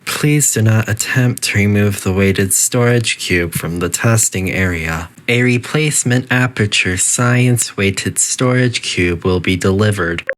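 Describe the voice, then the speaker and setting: A man voicing a condescending, passive-aggressive computer that runs a testing facility in a video game, with a measured delivery. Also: on the low side, a bit bright. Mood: clinical, instructive. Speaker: test chamber announcer and antagonist. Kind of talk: scripted video game voice lines.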